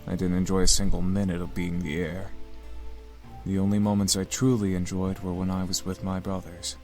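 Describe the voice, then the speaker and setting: Gentle young demon prince, very low voice, burdened by guilt, visual novel game, music behind. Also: male voice, level tone, moderate pace. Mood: somber.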